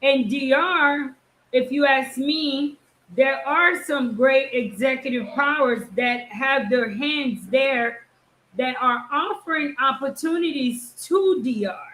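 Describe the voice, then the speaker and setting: A woman's voice: high voice, slow pace, fairly bright tone, roomy sound, poor audio. A woman hosting a casual live interview, asking her guest pointed questions. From Haitian, born in the United States. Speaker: host.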